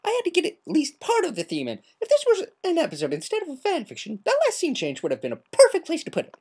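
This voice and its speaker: A woman voicing a bubbly, scatterbrained, bouncy female pony with a chirpy, excitable, rambling delivery. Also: high voice, quick pace, voice pushed up.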